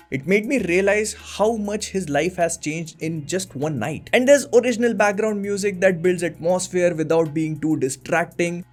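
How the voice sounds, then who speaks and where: A young man hosting a vlog, eagerly recommending something in an emphatic storytelling way. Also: sits high. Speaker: channel host. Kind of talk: sponsored recommendation monologue.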